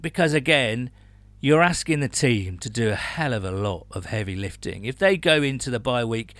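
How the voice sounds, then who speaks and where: A British man talks sport as a podcast host, with a medium-pitched voice. He is informal and blokey, keen and emphatic in his phrasing. The sound is very dry and close, with no room on it. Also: animated, normal speed, a bit bright, dry recording. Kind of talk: casual sports chat.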